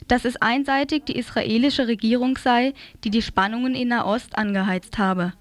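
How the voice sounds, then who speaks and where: A woman presenter reads prepared commentary in pronunciation. Her voice sits on the high side, and the sound is dry and close, with little room in it. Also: no room sound. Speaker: radio presenter reading a press commentary. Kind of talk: scripted commentary read aloud.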